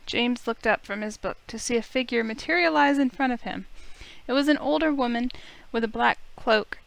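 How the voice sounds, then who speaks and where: A woman's voice: fairly high pitch, moderate pace, creaky, rough recording. A woman hosting a visual novel playthrough, talking and reading aloud for her viewers. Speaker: let's play host. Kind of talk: casual intro and read-aloud story narration. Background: game music.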